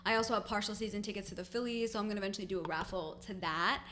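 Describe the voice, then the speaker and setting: Young woman for a casual interview guest spot; medium pitch, upbeat and informal. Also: steady tone, very fast, fairly bright tone, a little hiss or hum. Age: young adult. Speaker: podcast guest, realtor.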